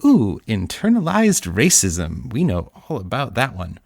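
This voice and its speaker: A man's voice: on the low side, wildly swooping pitch, unhurried, vocal fry, darkish tone, breathy edge, dry recording, studio quality. A British man voicing an audiobook, breaking off into joking asides and silly sing-song bits between lines. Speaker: audiobook voice actor. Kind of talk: playful recording outtakes.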